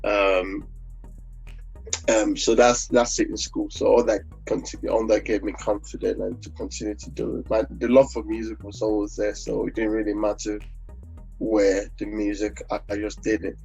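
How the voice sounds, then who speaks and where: A Nigerian man, a gospel singer, talking in a relaxed interview, rambling with many fillers and restarts. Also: on the low side, moderate pace, gravelly, slightly rough. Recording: dull lo-fi audio with faint music.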